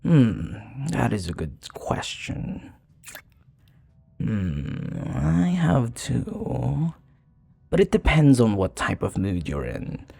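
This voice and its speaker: A man playing a flirty, charming vampire bartender in an intimate ASMR roleplay, teasing softly and unhurriedly with breathy murmurs and hesitations. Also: sing-song, extremely slow, heavily acted. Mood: smooth, inviting. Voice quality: low, husky, breathy.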